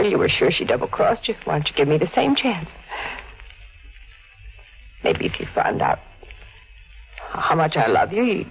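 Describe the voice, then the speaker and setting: An actress playing a brazen, seductive stage star in a 1940s radio thriller, theatrical and coaxing. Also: female voice, sits low, gravelly, husky, lo-fi sound, full character voice. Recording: old radio drama recording.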